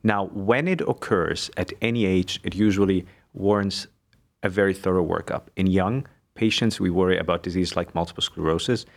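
A man from Egypt, a neurologist, giving measured technical explanations as a guest on a medical podcast. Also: sits low, creaky. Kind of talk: expert medical interview.

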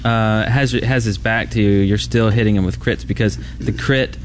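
A man's voice: lowish voice, fairly even intonation, moderate pace. Casual male podcaster, background convention noise. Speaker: podcast co-host. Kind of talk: casual gaming podcast chat. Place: live on an open convention floor. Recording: busy convention floor noise. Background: convention ambience and loudspeaker.

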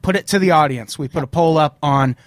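A man hosting a live-streamed podcast in casual chat, swearing freely and running through rules quickly, his voice a little hoarse. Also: on the high side, no echo. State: slightly hoarse. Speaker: podcast host.

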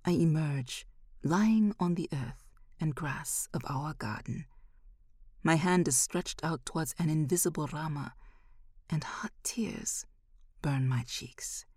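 A woman narrating scripted material as a podcast host, with a measured, expressive delivery. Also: sits low, breathy edge, no room sound, studio quality.

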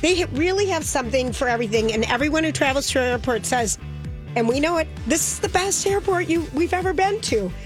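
A woman's voice: high voice, animated, over music, poor audio. Give me an enthusiastic woman hosting talk radio in a studio. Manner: chatty, enthusiastic, quick asides. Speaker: radio host. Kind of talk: casual radio chat and live ad reads. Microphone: studio microphone.

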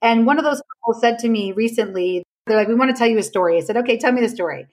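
A woman's voice: a little high, fast talker, darkish tone. A woman explaining her ideas conversationally as a podcast guest, telling anecdotes emphatically and acting out reported dialogue.

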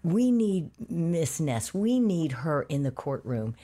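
A woman in her fifties with a deep voice, an interview guest telling candid stories about her career with lively quoted dialogue. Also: takes it slowly. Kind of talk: conversational interview.

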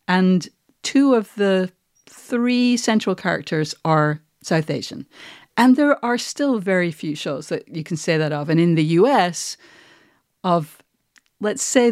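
A woman hosting an interview podcast, phrasing her points and questions in a measured, articulate way. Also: on the low side, a little mellow, no echo, clean studio sound.

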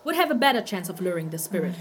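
A woman playing a nervy, outspoken, fair-minded companion in an audio drama, hesitant but quick to protest. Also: fairly low pitch, a little hiss or hum. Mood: questioning, uneasy. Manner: hesitant yet protesting, expressive. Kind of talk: audio fiction acting.

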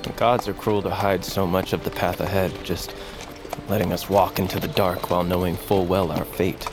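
An actor playing a proud, irreverent young grandson on a journey in a fantasy audio drama, with a sardonic, teasing manner. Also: male voice, low-pitched, little pitch movement, normal speed. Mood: brooding. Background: music behind the voice.

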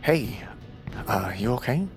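A man's voice: mid-range voice, lively intonation, slow pace. A man acting in an audio drama as a brave, stubborn volunteer, speaking informally and naturalistically. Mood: concerned, weary. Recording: music bed behind. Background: music.

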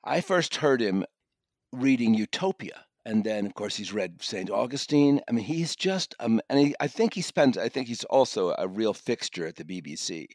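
A man's voice: lowish voice, animated, moderate pace, darkish tone, dry recording, studio quality. A man giving a conversational review as a guest critic on a podcast.

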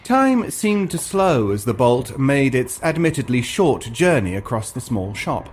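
A man narrating a scripted comic fantasy story in a dry, deliberate way. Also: medium pitch, big pitch swings, slight background noise.

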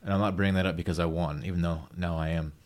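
A man's voice: low-pitched, rapid-fire. A man hosting a casual podcast, telling stories with eager, jokey energy. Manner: animated storyteller, eager and jokey. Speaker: podcast host.

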